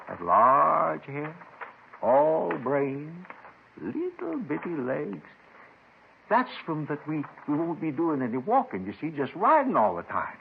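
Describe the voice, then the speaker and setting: A paranoid, reclusive doomsday crank in a vintage radio drama. His fairly high, husky voice lurches up and down as he stammers and repeats himself, agitated and suspicious. The old recording is slightly dull. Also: very animated, slow, slight background noise, full character voice. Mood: fervent, prophetic. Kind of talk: 1950s radio drama acting.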